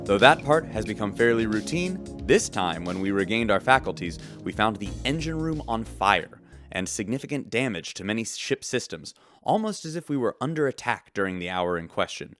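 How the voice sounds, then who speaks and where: Lively low-voiced space radio newsman. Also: moderate pace, hardly any pauses, faint music behind. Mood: dramatic, urgent. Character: affable shipboard radio newsman reporting earnestly. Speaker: radio host. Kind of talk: scripted radio news narration in sci-fi audio drama.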